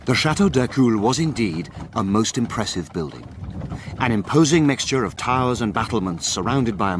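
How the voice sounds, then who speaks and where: An actor playing a grave gentleman who narrates a mystery adventure in a literary, measured and ominous manner. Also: medium pitch, lightly acted. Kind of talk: dramatic scripted narration. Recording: clear ambient background noise.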